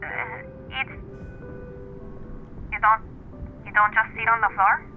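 A woman's voice: fairly high pitch, fairly even intonation, slow, phone-quality sound, faint music behind. An actress playing a cautious, patient woman coaching a friend, in a naturalistic audio drama with a careful, conversational delivery. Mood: awkward, instructive.

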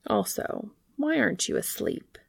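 Soft, slow, devoted male bee acted by a woman. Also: medium pitch, animated, creaky, breathy edge, no echo, clean studio sound, full character voice. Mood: fond, teasing. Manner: soft, tender, deliberate. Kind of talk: storybook character reading.

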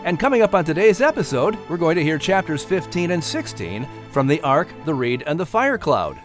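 Male announcer-narrator for children's audio; higher register, lively scripted delivery, music underneath. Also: moderate pace.

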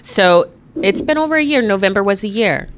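A woman's voice: mid-range voice, lively intonation, a little fast, a little hiss or hum. A woman from Alaska co-hosting a podcast, telling stories in a chatty, laughing way. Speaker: podcast co-host. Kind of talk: casual chat.

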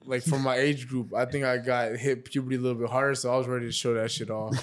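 A man's voice: medium pitch, level tone, quick pace. A young man in his twenties, a podcast guest in casual chat, laid-back and joking, tossing "bro" into nearly every line.

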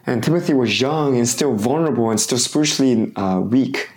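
Man, pastor, hoarse from a cold, mid-pitched, halting sermon delivery full of restarts. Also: a little mellow. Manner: halting, with frequent restarts and fillers.

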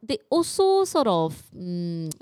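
A woman's voice: fairly low pitch, very animated, takes it slowly, mellow, no echo. A woman with a Singaporean accent co-hosting a podcast in casual chat, mixing English with Mandarin. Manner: code-switches between English and Mandarin. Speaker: podcast co-host.

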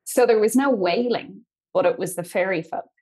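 A woman's voice: medium pitch, normal speed. A young adult woman from Ireland with an Irish accent, guest on a podcast, chatting and telling family stories with easy laughs and a level that rises and falls. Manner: chatty, drifts between loud and quiet, laughs easily. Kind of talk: casual storytelling conversation.

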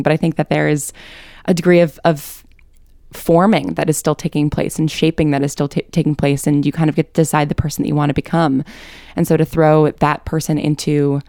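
Low, smooth-voiced woman in a thoughtful interview. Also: darkish tone, no room sound, clean studio sound. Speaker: writer and interview guest.

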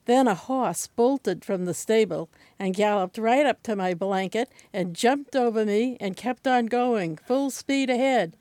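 An older woman from Boston with a Boston accent, reading her own personal essay aloud at a senior center in a measured, wry way. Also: on the high side, dark tone, dry recording.

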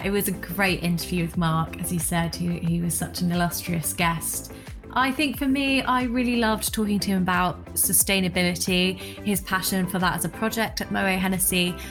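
A woman's voice: on the low side, fairly even intonation, fairly quick, over music. A woman co-hosting a podcast, moving between scripted introductions and conversational remarks. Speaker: podcast co-host.

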